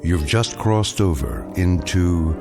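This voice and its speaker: An actor playing an ominous, knowing narrator of eerie tales, delivering scripted radio drama narration in a deliberate, portentous way. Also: male voice, low voice, lively intonation, over music. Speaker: narrator, host.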